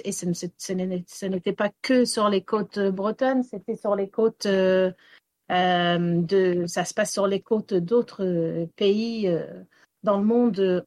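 A woman's voice: medium pitch, a little mellow, no room sound. Hesitant woman raised in Wales. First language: English. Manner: hesitant, many fillers and restarts. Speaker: storyteller and singer. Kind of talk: radio interview answers.

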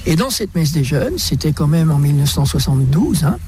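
Un homme âgé à l'belge, invité d'une émission de radio, qui raconte son parcours en conteur vivant, ponctué de petits rires. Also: male voice, a little high, a little fast, slight background noise. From Belgium, raised in Brussels. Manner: lively storyteller, chuckles often. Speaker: interview guest. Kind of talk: radio interview, personal testimony.

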